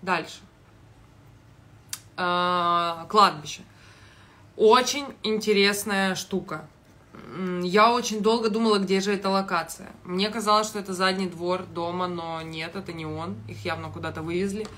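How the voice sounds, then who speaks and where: Blunt young woman with a bright voice. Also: lowish voice, steady tone. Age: young adult. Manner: blunt, heavily sweary, halting delivery. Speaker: reality show commentator. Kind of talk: casual vlog commentary. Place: small kitchen indoors. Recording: noticeable background noise.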